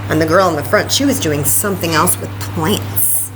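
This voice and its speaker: A woman's voice: sits low, moderate pace, over music, rough recording. A woman hosting story time for young children, chatting and reading aloud with exclamations and questions addressed to her little listeners.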